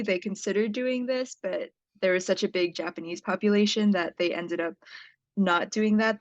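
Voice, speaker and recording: Young woman with a low-pitched voice, roomy sound. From Hawaii, United States. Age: young adult. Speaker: podcast guest, climate impact producer. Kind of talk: conversational personal storytelling. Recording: roomy, slightly dull lo-fi sound.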